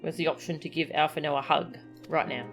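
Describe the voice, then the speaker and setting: A woman's voice: low-pitched, fairly even intonation, fairly quick. A woman streaming a game, giving casual commentary and chatting with her viewers. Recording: game music behind the voice.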